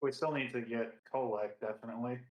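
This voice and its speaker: Roomy echoey guy, average pitch, casual tabletop player describing his moves. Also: steady tone, fast talker, lo-fi sound. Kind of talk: casual in-game narration and chat.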